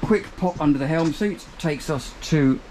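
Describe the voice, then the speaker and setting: A man's voice: fairly high pitch, unhurried, noisy background. A man, giving an upbeat walkthrough tour of a small motor boat cabin, filmed on a handheld camera. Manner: chatty, upbeat, pointing out features as he moves. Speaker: boat reviewer.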